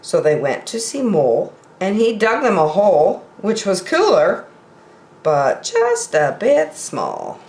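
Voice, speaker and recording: A woman's voice: medium pitch, huge pitch swings, takes it slowly, a little mellow. A middle-aged woman reading picture books aloud expressively for young children, in a roomy, echoing home recording.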